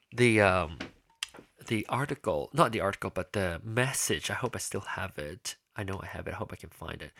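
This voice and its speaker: A man's voice: fairly low pitch, sharp tone. A man hosting his own podcast, talking casually and rambling with many restarts and fillers. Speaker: podcast host. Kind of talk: casual solo podcast talk.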